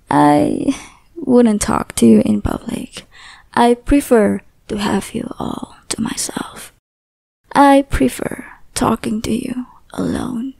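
A young woman voicing an obsessive, possessive lover in an ASMR roleplay, whispering softly with teasing menace. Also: medium pitch, no room sound. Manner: soft breathy whispering, teasing and threatening.

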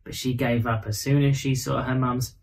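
A man's voice: mid-range voice, level tone, moderate pace, gravelly, a little mellow. A man in his thirties reading a children's bedtime story expressively. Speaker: storybook reader.

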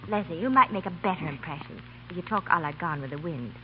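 A woman's voice: on the low side, lively intonation, fast talker. A woman, a guest actress, in scripted 1930s radio banter. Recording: reverberant, muffled old radio, faint noise.

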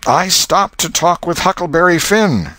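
Man voicing a folksy schoolboy, pitched up. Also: expressive, unhurried, bright, forceful tone, no echo. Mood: bold, plain. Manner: folksy, plain-spoken. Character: cheeky, folksy American schoolboy, sweet on a girl.

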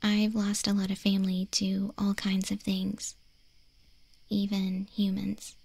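A woman playing a friendly, curious young wolf girl in an ASMR roleplay, speaking softly and gently in a breathy, hesitant near-whisper. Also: medium pitch, monotone, slow pace, heavily acted. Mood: sympathetic. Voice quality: soft, breathy, mostly whispered.

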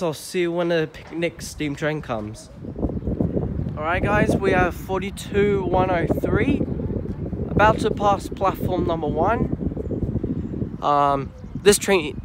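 A man's voice: high voice, slow. Chatty young man on a railway platform. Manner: chatty, hesitant, addresses viewers as guys. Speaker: train vlogger. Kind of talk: casual vlog narration. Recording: faint outdoor noise. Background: faint outdoor ambience.

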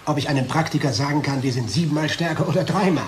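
A man's voice: a little high, fast talker, a crowd in the background, natural voice. An actor playing an indignant, nitpicking company man in audio drama dialogue. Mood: indignant, pointed. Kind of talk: dialogue radio-play acting.

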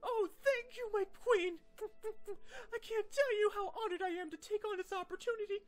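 Super high squeaky eager pony stallion, gushing and earnest, cartoon. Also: female voice, moderate pace, dry recording. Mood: gushing, honoured.

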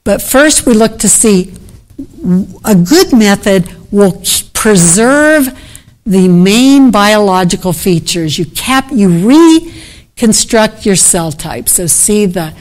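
A woman's voice: medium pitch, expressive, takes it slowly. A middle-aged woman giving an unscripted academic keynote, thinking aloud over her slides and often restarting sentences. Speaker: keynote speaker. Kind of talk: academic conference talk.

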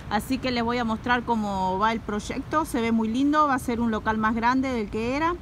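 Uruguayan lady vlogging on her phone while walking down the street, kinda high voice, cars going by. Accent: Uruguayan Spanish. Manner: chatty, repeats herself. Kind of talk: casual walking vlog. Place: outdoors on a town street. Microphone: phone camera held while walking. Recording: street noise behind.

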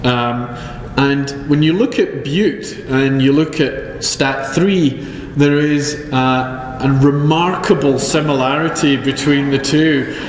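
A man's voice: medium pitch, slow pace. A Scottish man delivers a science lecture in a mid-range voice, dropping in dry jokes at his own expense between frequent ums. His talk rings through a roomy hall with a long echo, and a faint hum of noise sits underneath. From Scotland. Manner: dry self-deprecating humor, many ums. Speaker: chemistry professor. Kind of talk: conference talk.

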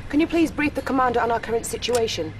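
A young woman playing a composed, earnest military intelligence officer, giving crisp formal briefings in a video game. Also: fairly high pitch, expressive, fast, noisy background, lightly acted. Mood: composed, encouraging. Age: young adult.

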